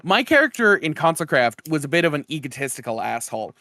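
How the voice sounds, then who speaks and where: A man with a fairly high, bright voice co-hosts a casual podcast. His pitch moves around a lot as he banters bluntly in slang and swears. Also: very animated, quick pace, dry recording, clean studio sound. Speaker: podcast co-host. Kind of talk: casual chat.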